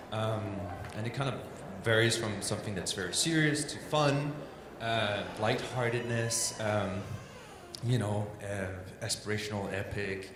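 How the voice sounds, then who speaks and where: A Danish-accented man presents an informal talk in English, chatty and self-deprecating, padding his sentences with "like" and "um". His voice carries a long reverb, as if he were in a large hall. A steady background hiss sits under everything. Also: fairly low pitch. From Denmark. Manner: loose and chatty, many "like" and "um" fillers, self-deprecating.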